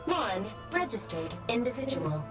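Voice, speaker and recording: Automated service bot, procedural announcements, slow and halting, swinging pitch, game voice. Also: female voice, big pitch swings. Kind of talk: video game system announcement. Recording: loud music over the voice.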